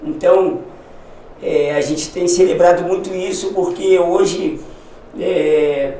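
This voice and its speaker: Halting, emotional male missionary. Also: high voice, dark tone, distant mic, a little hiss or hum. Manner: halting, emotional, restarts often. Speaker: podcast guest, missionary. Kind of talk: casual conversation. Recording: echoey room, somewhat off-mic.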